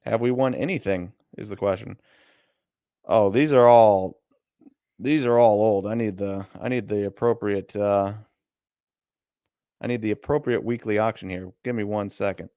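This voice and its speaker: Smooth-voiced Midwestern man, dry sound. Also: on the low side, normal speed, long pauses, dry recording. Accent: Midwestern American. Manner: relaxed, thinking aloud while browsing. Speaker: co-host of a card auction stream. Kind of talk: casual live-stream commentary.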